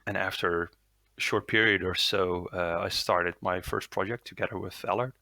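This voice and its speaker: A man's voice: low-pitched, fairly even intonation, moderate pace, creaky, pushed and bright, no echo. A man in his thirties from the Netherlands with a Dutch accent, talking through a headset on a video call, hesitant with many fillers and restarts. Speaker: guest, lead software developer. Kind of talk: casual interview chat.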